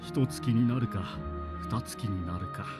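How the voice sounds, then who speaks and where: A man's voice: lowish voice, a little fast, natural voice. A man voice acting a grave father in a historical visual novel game. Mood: grave, measured. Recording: game playback with music behind. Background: game music.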